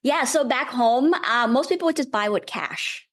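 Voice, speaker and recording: A woman's voice: high-pitched, lively intonation, moderate pace. A woman in her thirties from Vietnam with a Vietnamese accent and Vietnamese as her first language, explaining things step by step in a friendly, thoughtful way as a podcast guest. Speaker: guest real estate investor. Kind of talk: casual podcast interview.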